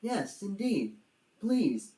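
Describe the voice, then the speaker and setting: A woman voicing a timid, excitable male storybook dragon with jolly old-fashioned manners. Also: mid-range voice, very slow, reverberant, voice pushed up, low-quality recording. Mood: excited. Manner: jolly old-fashioned British exclamations, nervous. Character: excitable, timid young male dragon with posh British airs.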